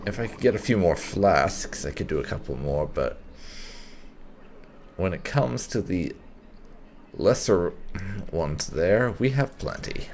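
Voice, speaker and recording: A man hosting a casual video game playthrough, haltingly thinking aloud as he comments. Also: low-pitched, lively intonation, a little mellow, slight background noise. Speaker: gaming channel host. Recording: game ambience faintly behind commentary.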